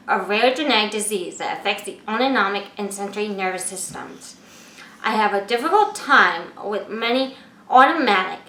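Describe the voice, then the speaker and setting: Woman, 20s, scripted narration, measured delivery, mid pitch, roomy echo. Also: bright, forceful tone. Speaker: artist introducing her video. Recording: echoey room.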